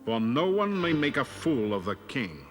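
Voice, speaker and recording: A man's voice: medium pitch, very animated, moderate pace, faint music behind, pitched above the performer's own voice, close to an everyday voice. Man doing a stern, threatening fairy-tale king. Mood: stern threat. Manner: stern, commanding. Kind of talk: storybook character voice.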